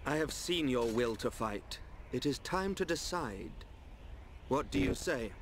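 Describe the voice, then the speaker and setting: A man with a British accent playing a serene, enigmatic young seer in a video game, formal and measured. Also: mid-range voice, expressive, a little hiss or hum, natural voice. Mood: serene, cryptic. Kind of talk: video game cutscene acting. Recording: loud music under the dialogue.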